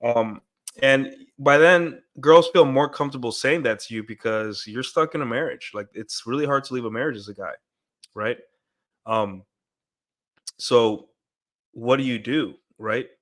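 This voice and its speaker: Man, congested from a cold, nasal stuffed-up voice, middle pitch, rambling livestream chat, desk mic. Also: unhurried, a bit bright. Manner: rambling, keeps asking "right?". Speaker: streamer. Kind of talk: casual livestream monologue. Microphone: desk mic through an audio interface.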